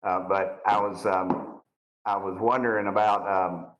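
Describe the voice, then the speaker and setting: A man's voice: low-pitched, fairly even intonation, a little slow, dull audio. A man with a Southern Tennessee accent, a congressman questioning witnesses in a folksy, courteous, plain-spoken way. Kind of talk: informal questioning at a formal hearing. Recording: roomy, echoing hearing audio.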